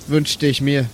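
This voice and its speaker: A man's voice: fairly high pitch, gravelly, fairly bright tone, a little hiss or hum. A man improvising casual banter as a player in a tabletop role-playing game, with a sceptical, dry manner.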